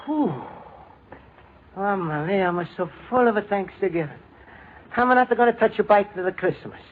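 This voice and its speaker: A man's voice: high voice, lively intonation, moderate pace. An actor playing a good-natured, naive Italian immigrant man with an Italian accent and singsong broken English in an old-time radio comedy. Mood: warm, amused. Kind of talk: 1940s-50s radio comedy acting. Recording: noisy, tinny old-time radio recording.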